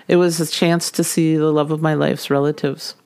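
A middle-aged woman with a light Minnesota accent hosts her podcast, telling a personal story in a gentle, wry, homey way. Her voice sits low and mellow. The recording is dry and close. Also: flat delivery, moderate pace, vocal fry, clean tone, no echo. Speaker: podcast host. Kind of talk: scripted storytelling narration.

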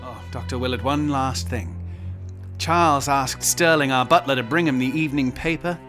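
An actor playing a practical middle-aged father in a radio drama, exasperated and gruff in manner. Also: medium pitch, moderate pace, music in the background, lightly acted. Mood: concerned.

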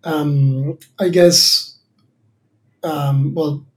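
A man's voice: fairly high pitch, fairly even intonation, extremely slow, poor audio. A man in his thirties from Argentina, a startup founder answering interview questions thoughtfully, with many fillers and restarts. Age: 30s.

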